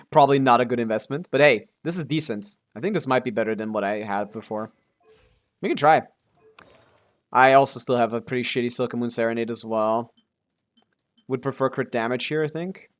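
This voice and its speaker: A man casually commentating on a game livestream, swearing freely as he reacts aloud and talks to himself and his chat. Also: mid-range voice. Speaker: game streamer.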